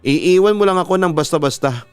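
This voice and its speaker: A man's voice: sits high, fairly quick, smooth, dry recording, soft background music, pitched above the performer's own voice, natural voice. A man voicing a heartbroken young woman in a dramatic story, bitter, pleading and accusing. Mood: tearful, angry.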